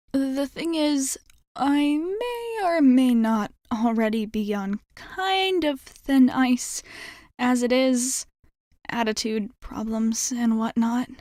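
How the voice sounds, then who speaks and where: A woman's voice: fairly high pitch, a little mellow, no room sound, studio quality. A woman playing a nervous, blunt, sporty schoolgirl team captain in an ASMR roleplay, rambling and backtracking as she pleads with the listener. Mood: sheepish, anxious. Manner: rambling, halting, backtracking mid-thought.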